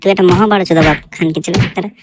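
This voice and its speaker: Woman acting fast-talking, foul-mouthed cartoon son. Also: lowish voice, steady tone, heavy background noise, close to an everyday voice. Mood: angry, ranting. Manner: fast, ranting, crude swearing. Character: foul-mouthed, lovesick, penniless, hot-tempered young son. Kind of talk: comic cartoon dialogue.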